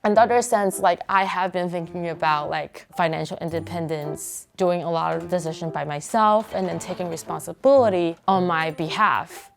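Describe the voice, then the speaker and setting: A woman's voice: on the low side, normal speed, vocal fry, few pauses, over music. A woman of twenty-one from China, a university student, speaking in a reflective interview with many fillers. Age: 20s.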